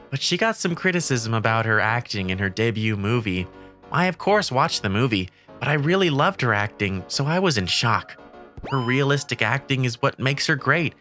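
A narrator reads a first-person romantic comedy story for a manga dub. The voice is bright and animated, of middle pitch, with soft music behind it.

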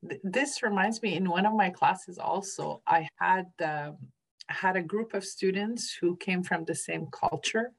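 Slightly low-voiced woman sharing experiences. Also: unhurried, vocal fry, husky, poor audio. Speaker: teacher, former engineering student. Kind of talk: personal sharing in group discussion. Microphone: video call.

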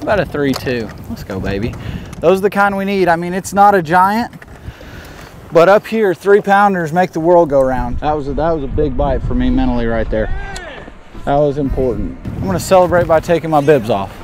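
A young man, a professional angler, giving casual running commentary from a bass boat out on a lake. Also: lively intonation, brisk, darkish tone. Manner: casual, talks to himself and the camera crew between casts. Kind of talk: running commentary while fishing.

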